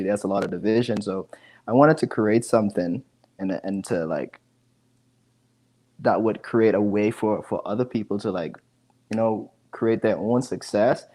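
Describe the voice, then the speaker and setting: A man's voice: fairly low pitch, darkish tone. A man, chatting casually as an interview guest on a video call from home, often repeating words as he goes. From the Bahamas. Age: 20s. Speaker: guest, digital marketing specialist. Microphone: earphone headset.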